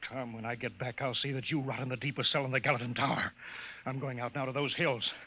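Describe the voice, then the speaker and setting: An actor playing a hot-tempered, domineering chief in a 1950s radio drama, barking abuse and orders. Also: male voice, medium pitch, flat delivery, quick pace, sharp tone. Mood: furious. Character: hot-tempered, tyrannical colonial chief, a furious man. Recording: noisy old 1950s radio recording.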